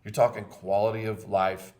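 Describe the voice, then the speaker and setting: A man's voice: fairly low pitch, flat delivery, normal speed, darkish tone. A man, hosting a casual health podcast in an emphatic, preachy way and often repeating himself. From Texas. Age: 50s. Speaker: podcast host, chiropractor.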